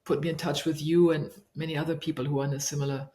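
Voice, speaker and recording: A middle-aged woman from Germany, a leadership coach, answering interview questions thoughtfully and pausing often to search for her words. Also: low-pitched, level tone, dark tone. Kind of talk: reflective interview conversation. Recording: echoey room.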